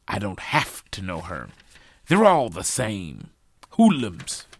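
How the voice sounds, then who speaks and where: A man voicing a furious, stubborn feuding parent who shouts scornful, emphatic insults and threats in a told story. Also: fairly low pitch, wildly swooping pitch, takes it slowly, slightly rough, no echo, exaggerated voice. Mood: scornful, outraged.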